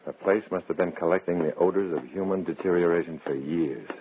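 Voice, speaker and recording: Very low-pitched undercover agent, hard-boiled, tense, clipped, 1950s radio drama, crackly lo-fi sound. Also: male voice, a little fast. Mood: tense, grim. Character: tense, hard-boiled male undercover agent narrating his own story. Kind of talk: 1950s radio drama acting, first-person suspense narration. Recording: crackly, narrow-band old radio recording.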